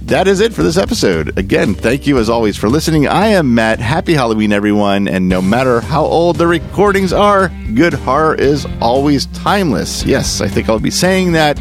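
A man's voice: medium pitch, very animated, normal speed, few pauses. A man hosting a podcast, talking casually and partly off the cuff. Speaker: podcast host. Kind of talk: casual podcast sign-off, semi-improvised. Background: music.

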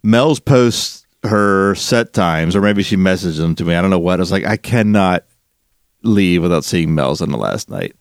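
A man with a low, slightly nasal voice tells a long story in casual podcast chat. He rambles and restarts himself with animated energy. The recording is dry and close. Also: moderate pace, no echo. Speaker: podcast co-host.

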